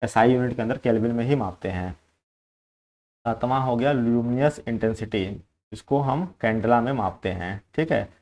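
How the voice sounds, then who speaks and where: An Indian-accented man speaks as a teacher in a medium-pitched voice. He explains exam questions in an instructive lecture style, moving back and forth between two languages as he goes. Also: normal speed, a little mellow. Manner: instructive, code-switches between Hindi and English.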